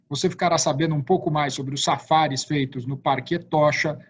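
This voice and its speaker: A man's voice: a little high, husky. A man with a Brazilian Portuguese accent who hosts a travel talk show and interviews a guest, often ending his points with "né?". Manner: warm-up tags "né?" after statements. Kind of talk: hosting an interview. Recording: dull lo-fi call audio.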